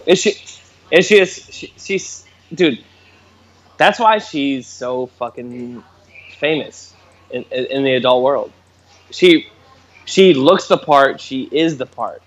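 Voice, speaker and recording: A man co-hosting a podcast, talking in an excitable, stammering way with lots of swearing. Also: medium pitch, slow, pauses often. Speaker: podcast co-host. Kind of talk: casual chat.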